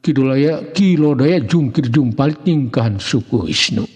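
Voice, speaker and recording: Male narrator, Sundanese accent, husky voice, animated intonation, theatrical folk-tale delivery, long echoing reverb. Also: mid-range voice, a little slow. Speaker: storyteller. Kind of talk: dramatic scripted narration of a folk mystery tale.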